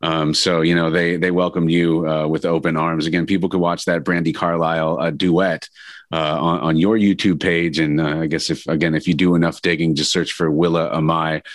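Very deep-voiced male interview host. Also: normal speed, no room sound, studio quality. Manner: relaxed, hesitant, many uh fillers. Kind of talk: casual interview.

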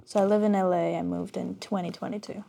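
A woman's voice: on the low side, level tone, gravelly, dark tone. Woman, hesitant guest singer. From Armenia. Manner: hesitant, many pauses and ums. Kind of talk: casual interview. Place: radio studio.

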